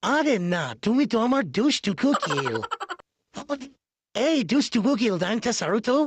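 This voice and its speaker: A man's voice: very high voice, big pitch swings, moderate pace, noisy background, full character voice. A man voicing a boastful, lovestruck male political leader in a comic cartoon parody, wooing and bragging in exaggerated style. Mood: sweet, wooing.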